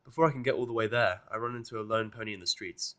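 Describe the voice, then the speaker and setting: A man reading a first-person fan fiction story aloud with an expressive, sardonic delivery. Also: lowish voice, racing. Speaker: fan fiction reader and narrator.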